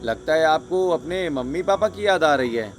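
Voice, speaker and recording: A man's voice: on the high side, lively intonation, normal speed, soft background music, natural voice. A man voicing a teasing, playful young brother-in-law in a family cartoon. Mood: caring.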